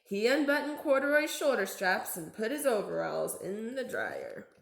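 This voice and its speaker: A woman's voice: fairly high pitch, sing-song, moderate pace, vocal fry, slight background noise. A woman teacher reading a children's storybook aloud expressively. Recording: echoey room.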